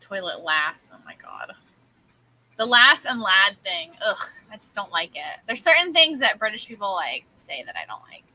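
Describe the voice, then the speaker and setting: A woman's voice: medium pitch, very animated, moderate pace, bright, forceful tone, low-quality recording. A woman co-hosting a casual late-night livestream chat, frank and opinionated, often giggling. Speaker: livestream co-host.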